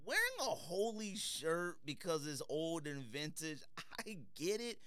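A man's voice: fairly high pitch, lively intonation, a little slow, a bit bright, smooth, dry recording. An American man hosting a casual panel podcast, bantering in a slangy, teasing way. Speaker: podcast host.

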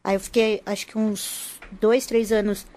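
A woman's voice: medium pitch, little pitch movement, a little slow, darkish tone. A woman from Rio de Janeiro, a podcast guest, talking casually with many restarts and laughs. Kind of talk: casual chat.

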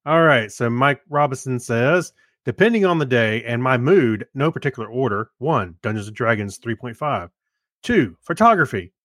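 A man's voice: mid-range voice, animated, moderate pace, fairly bright tone, no room sound, clean studio sound. A man co-hosting a podcast, chatting casually and reading items aloud. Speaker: podcast co-host.

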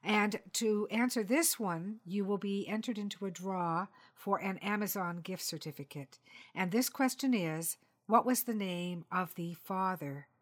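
A woman presenting and interviewing as a podcast host, speaking in a measured, articulate and polite way. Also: medium pitch.

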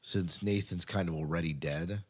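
A man with a very deep, low-pitched voice co-hosts a podcast. He chats casually about television news. He leans on key points by repeating words for emphasis. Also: normal speed, breathy edge. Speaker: podcast co-host.

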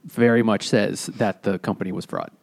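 A man's voice: fairly low pitch, steady tone, moderate pace, vocal fry. A man co-hosting a casual book and beer podcast, steering the talk and filling with "like". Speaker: podcast co-host. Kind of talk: casual chat over beers.

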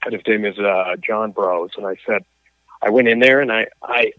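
A man, a coach and athlete, telling his story as an interview guest, halting with frequent restarts and fillers. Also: on the low side, no echo. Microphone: phone or call line. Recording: tinny phone line.

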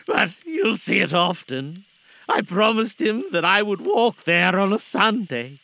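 A man's voice: sits high, sing-song, unhurried, creaky, pushed and bright, dry recording, pitched above the performer's own voice, full character voice. A man voicing a cheerful, tender-hearted working-class father fighting back grief, earnest and affectionate, in a dramatised storybook reading. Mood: grieving, tender.

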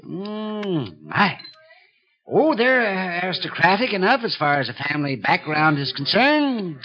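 A man's voice: sits high, sing-song, measured pace, highs cut off. An actor playing a fussy, jovial male bank president who dithers and chatters with little mutters in a 1950s radio drama. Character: chuckling, fussy, food-loving small-town male bank president. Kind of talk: 1950s radio character acting.

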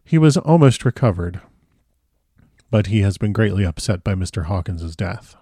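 Guy doing a demure young married lady from an old novel, polite n proper, soft-edged mellow tone. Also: sits low, lively intonation, normal speed, breathy edge, no room sound, clean studio sound. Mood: troubled.

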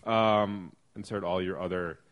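A man's voice: low voice, steady tone, measured pace, dull audio. A man hosting a casual podcast, joking and rambling with lots of fillers. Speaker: podcast host.

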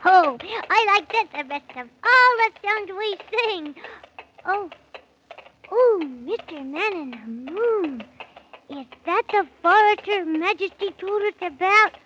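A woman's voice: very high voice, expressive, slow pace, pushed and bright, a little hiss or hum, heavily acted. A woman playing an eager, polite, easily frightened six-year-old boy in a 1930s children's radio serial. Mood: delighted, curious. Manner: earnest, wide-eyed. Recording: muffled old radio recording with music.

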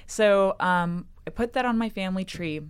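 A woman's voice: medium pitch, moderate pace, slight background noise. A young woman co-hosting a casual podcast chat, telling a childhood story, acting out the quotes and laughing through her lines. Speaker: podcast co-host.

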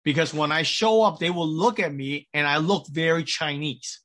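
A pastor delivering a sermon with an American accent, measured and pausing, with frequent restarts. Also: male voice, fairly high pitch, steady tone, fairly bright tone. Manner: measured preaching with pauses and restarts.

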